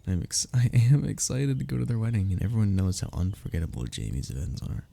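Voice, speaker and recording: A young man acting an affectionate, playful best friend in an intimate audio roleplay, soft-spoken and gently teasing, with a gravelly, husky voice. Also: lowish voice, very animated, fast, full character voice. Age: young adult.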